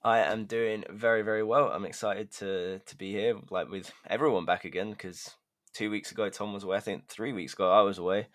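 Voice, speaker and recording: A man with a fairly low voice chats loosely on a news podcast. He hedges his points with filler phrases as he explains things. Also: fairly even intonation, a little fast, fairly bright tone. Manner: chatty, hedging with "sort of". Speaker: podcast co-host. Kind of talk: casual podcast discussion.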